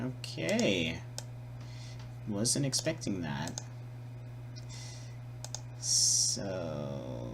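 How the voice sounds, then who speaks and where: Male tutorial presenter, unhurried and tentative, thinking aloud informally, with light background noise. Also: low voice, wildly swooping pitch, gravelly. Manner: hesitant, muttering, repeating words while working. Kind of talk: casual improvised software tutorial.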